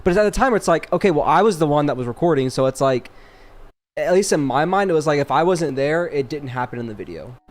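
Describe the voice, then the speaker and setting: A man's voice: a little high, lively intonation, quick pace. A man co-hosting a podcast in a casual, slangy chat, often repeating himself for emphasis. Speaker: podcast co-host.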